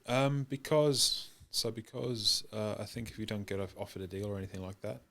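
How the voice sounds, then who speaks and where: A man's voice: on the low side, moderate pace, gravelly, fairly bright tone. A young man from Wales with an accent mixing Welsh and New Zealand, answering podcast questions hesitantly with many ums and restarts. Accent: mixed Welsh and New Zealand. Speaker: guest, professional rugby player. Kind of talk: podcast interview.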